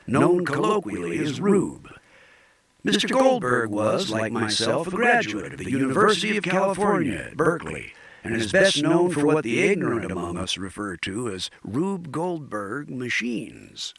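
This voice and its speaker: A man's voice: a little high, fairly bright tone, exaggerated voice. An actor playing a grandiose old hippie conspiracy theorist hosting his own online show, pompous and oratorical, in an audio drama.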